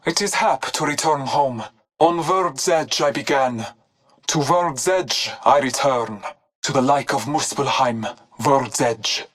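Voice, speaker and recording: A woman's voice: a bass voice, slow, heavily acted. A woman voicing a devout, mystical Norse hunter in a video game, with solemn, archaic, formal delivery. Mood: solemn reverence. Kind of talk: video game character voice lines.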